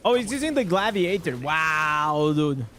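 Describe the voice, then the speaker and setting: A man with a high voice for a man streams a game live, narrating his play in English. He bursts into excited reactions over loot and chats casually with his viewers. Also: a little slow, slight background noise. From Portugal. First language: Portuguese. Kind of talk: live gameplay commentary.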